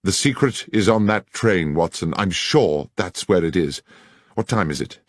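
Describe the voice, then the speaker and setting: A man playing a shrewd gentleman detective with an English accent, formal, precise and authoritative. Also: sits low, lively intonation, unhurried, dry recording, clean studio sound. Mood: urgent. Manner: crisp, precise, formal, commanding.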